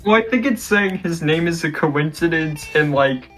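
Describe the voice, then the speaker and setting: A mid-pitched guest co-reader talks in a casual, reactive way. The delivery is amused, with laughter often in the words. Guitar-led music is clearly heard behind the voice. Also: animated, moderate pace, fairly bright tone, poor audio. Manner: amused, laughs while talking. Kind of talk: casual reading and reacting commentary.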